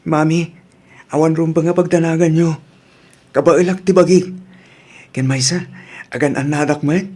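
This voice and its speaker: A man's voice: on the high side, steady tone, moderate pace, pauses often, a little mellow, lightly acted. A man acting in an Ilocano radio drama as an earnest, emotional family man, with an Ilocano accent. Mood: pleading. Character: earnest family man, by turns pleading son and father.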